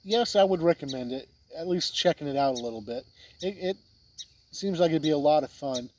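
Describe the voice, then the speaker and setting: A man giving a casual, unscripted review as a video host, plain-spoken with halting phrasing, with wind on the recording. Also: on the high side, animated, darkish tone. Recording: wind noise on the mic.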